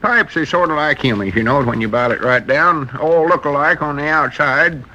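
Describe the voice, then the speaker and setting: On a noisy vintage radio recording, a cranky old Southern country grandpap. His forceful voice rambles and repeats itself, folksy and full of self-pity. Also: a little high, normal speed, dull audio. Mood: mournful grumbling. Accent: rural American South. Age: older. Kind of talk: 1940s radio comedy acting.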